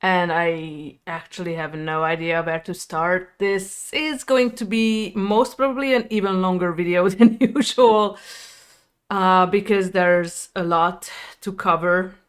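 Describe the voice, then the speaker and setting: Slow-paced Hungarian woman with a clear voice. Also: on the low side. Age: 30s. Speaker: video creator. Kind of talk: personal storytime to viewers.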